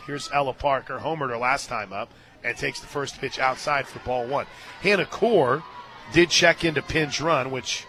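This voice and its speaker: An American man doing live radio play-by-play of softball from a stadium booth, mixing casual banter with animated calls of the action. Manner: animated and shouting on big plays, conversational between pitches. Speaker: play-by-play announcer.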